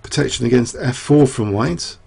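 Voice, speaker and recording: A man's voice: medium pitch, normal speed, creaky, a little mellow, slightly rough. A man analyzing a chess game aloud, losing his voice, rambling through rhetorical questions with many fillers. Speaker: chess commentator.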